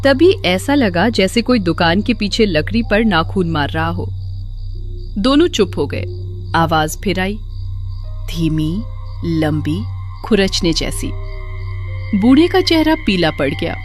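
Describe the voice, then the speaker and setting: A woman's voice: lowish voice, expressive, takes it slowly, pauses often, faint music behind. A woman giving a dramatic scripted narration of a horror story.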